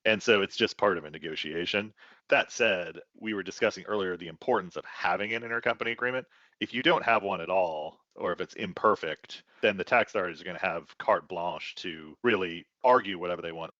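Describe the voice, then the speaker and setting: A man's voice: deep voice, a little fast, bright, forceful tone, no echo, muffled recording. A man giving conversational expert commentary as a panelist, explanatory and leaning on key words for emphasis.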